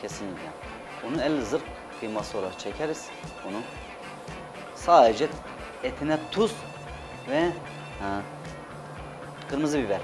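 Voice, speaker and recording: A man's voice: medium pitch, expressive, measured pace, pauses often. A man in his thirties from Adana in Turkey, a chef talking casually and emphatically as an interview guest, repeating words for stress. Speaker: chef and restaurant owner, guest. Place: TV studio kitchen set. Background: background music.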